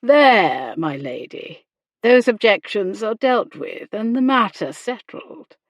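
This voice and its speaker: A woman voicing a sardonic, commanding gentleman with a sarcastic, deliberate manner in a period romance audiobook. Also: lowish voice, animated, dry recording. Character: sardonic, commanding gentleman, injured, coolly provoking.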